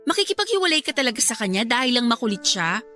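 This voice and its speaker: A woman's voice: fairly high pitch, very animated, fast, sharp tone. A woman playing a frank, teasing younger sister in a Filipino radio drama, blunt and cheeky, with a Filipino accent. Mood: frank, scolding. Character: frank, teasing teenage younger sister, wiser than her age. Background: music.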